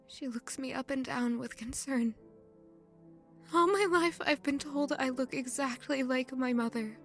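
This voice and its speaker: An actress playing a grieving, self-blaming young servant woman in an emotive, halting audio drama. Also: high voice. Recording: music under the voice. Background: music.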